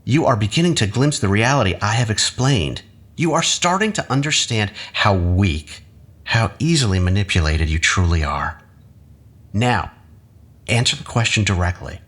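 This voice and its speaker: An actor playing a manipulative academic husband in an audio drama, measured, coaxing and patronizing. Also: male voice, fairly low pitch, expressive, a bit bright. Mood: condescending, insistent. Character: manipulative husband, an academic doctor, calmly condescending and persuasive.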